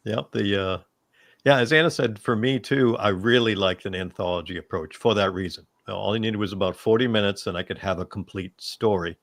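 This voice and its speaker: A man's voice: on the low side, a little fast, a bit bright. A man hosting a casual panel discussion, speaking conversationally. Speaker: panel host.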